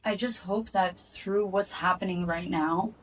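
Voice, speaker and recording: A woman giving an earnest, measured direct-to-camera statement, recorded in Israel. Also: fairly low pitch, steady tone, breathy edge, recorded from a distance, poor audio. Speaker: activist speaking in a video clip.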